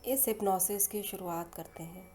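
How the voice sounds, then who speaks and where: An Indian woman leading a guided hypnosis meditation in slow, soothing, unhurried phrases with long pauses between them. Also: mid-range voice. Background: soft meditation music.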